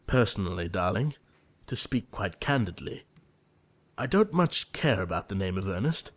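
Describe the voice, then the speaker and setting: A man acting an earnest, nervous young Victorian gentleman suitor in an audio play, speaking formally and hesitantly. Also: on the low side, big pitch swings, moderate pace. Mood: anxious.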